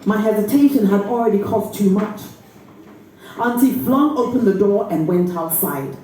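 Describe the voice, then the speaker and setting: A woman actor giving an expressive, deliberate dramatic reading from a novel. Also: mid-range voice, distant mic. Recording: very echoey room, faint noise.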